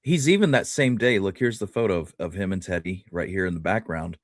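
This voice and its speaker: A man with a lowish voice hosts a relaxed history discussion. He talks informally, like he is among buddies, and the sound is close and dry. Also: a little fast, dry recording. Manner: informal, buddy-like, peppered with "man" and "dude". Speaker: host steering the discussion. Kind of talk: casual chat about Old West history.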